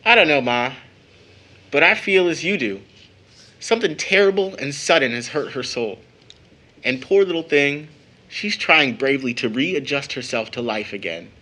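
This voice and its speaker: A man playing a young brother and son in an audio drama, speaking in a measured, earnest, slightly wry way. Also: medium pitch, expressive, sharp tone. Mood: somber, concerned. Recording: noticeable background noise.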